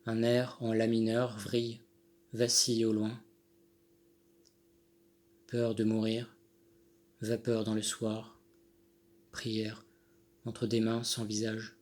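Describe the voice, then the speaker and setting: Slow-paced man reciting poetry. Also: on the low side, completely flat delivery, a little mellow. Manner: measured, deliberate phrasing with pauses. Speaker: poet reading own poem. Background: Handel music accompanies the reading.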